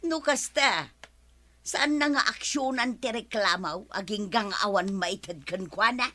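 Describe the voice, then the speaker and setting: A woman's voice: mid-range voice, slow pace. A woman with an Ilocano Filipino accent playing a nagging, complaining village wife in a radio comedy drama. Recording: loud music bed behind the dialogue. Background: music.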